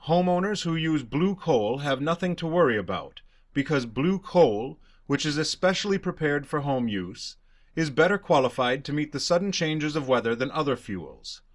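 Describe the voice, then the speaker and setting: Higher-pitched male old-time radio announcer. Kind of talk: 1930s radio advertisement read.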